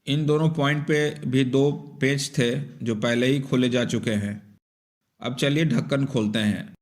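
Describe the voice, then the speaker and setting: Guy doing scripted how-to repair narration, mid pitch, explains it step by step. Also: steady tone, unhurried. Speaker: repair tutorial presenter.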